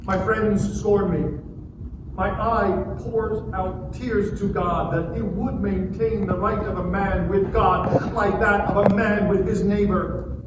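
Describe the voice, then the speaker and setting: A man playing an anguished, defiant man pleading against God, declaiming with drawn-out phrases in a dramatic scripture reading. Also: high-pitched, fairly even intonation. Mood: weary grief. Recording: distant, very echoey, noisy live room.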